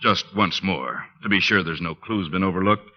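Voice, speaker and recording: A low-voiced, plodding police sergeant. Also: male voice, normal speed. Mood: polite, apologetic. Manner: deferential, matter-of-fact, folksy. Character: polite, plodding, jovial male police sergeant. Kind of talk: 1940s radio drama acting. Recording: muffled 1940s radio recording.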